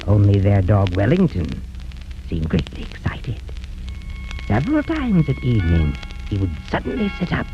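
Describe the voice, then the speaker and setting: An actor playing a gleefully sinister storyteller in 1930s radio horror, with a theatrical, drawn-out delivery that relishes the macabre. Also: male voice, mid-range voice, highs cut off. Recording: old band-limited 1930s radio recording.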